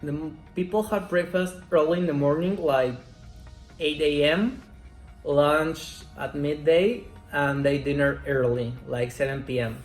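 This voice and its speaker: A man in his twenties, a Spanish speaker, answering interview questions in slow, careful English. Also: a little high, fairly even intonation. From Mexico. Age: 20s. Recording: roomy echo, faint music.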